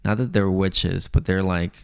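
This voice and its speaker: Low, gravelly man from Mexico. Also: level tone, normal speed. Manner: laid-back, rambling, swears freely. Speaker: podcast co-host. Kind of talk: casual chat.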